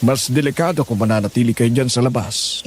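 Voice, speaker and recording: A man playing a calm, kindly older father in an audio horror drama, gently persuading. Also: mid-range voice, fairly quick, lightly acted. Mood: gentle, reassuring.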